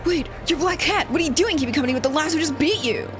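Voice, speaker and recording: Game role: woman as contemptuous heckler, animated and jeering. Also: high voice, fast talker, over music, voice pushed up. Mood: scornful. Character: scornful heckling fight fan.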